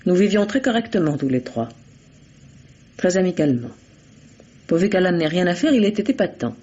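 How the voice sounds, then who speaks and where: An actor playing an evasive, defensive murder suspect in a vintage radio mystery, hesitant and guarded under questioning. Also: male voice, high-pitched, big pitch swings, normal speed, warm tone, husky, heavily acted. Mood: explaining, wistful. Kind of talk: 1950s French radio mystery acting. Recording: dull lo-fi old radio recording.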